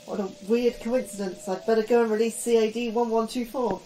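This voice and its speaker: Actress as nervous science lady in a podcast drama, soft dark tone, understated, bit of noise behind. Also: a little high, fairly even intonation, a little fast, close to an everyday voice, poor audio. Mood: shaky, mild. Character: shaky, anxious woman astrobiologist. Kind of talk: naturalistic audio drama acting.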